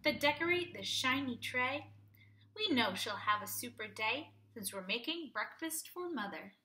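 A young woman with a high, bright voice reads to little children as a teacher would. Her delivery is sing-song and expressive, shaped for a picture book. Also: normal speed. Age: young adult. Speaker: teacher reading aloud to children.

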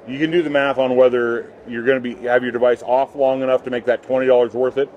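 Man, company rep, medium pitch, casual explainer, slightly dull recording. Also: level tone, quick pace. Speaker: company representative at a trade booth. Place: trade show booth with fabric backdrop.

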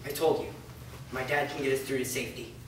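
A man's voice: mid-range voice, steady tone, fast talker, background noise, close to an everyday voice. Young male sweetheart, man actor: protective, earnest, reassuring; stage reading, distant mic, strong room echo. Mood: urgent, reassuring. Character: earnest, protective teenage boy in love. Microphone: far camera.

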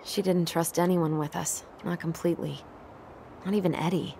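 A woman's voice: fairly low pitch, steady tone, normal speed, vocal fry, warm tone, slight background noise. An actress playing a dry, guarded, teasing young American woman in naturalistic video game dialogue. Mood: subdued, guarded. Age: young adult.

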